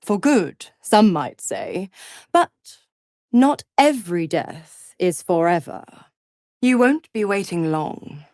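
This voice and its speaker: A woman's voice: on the low side, big pitch swings, slow pace, darkish tone, dry recording, studio quality, exaggerated voice. A woman playing a seductive, mocking devil villain in a video game, theatrical and taunting, with a cruel possessive streak. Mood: gloating. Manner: sultry, taunting, theatrical, drops into whispers. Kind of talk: dramatic video game voice acting.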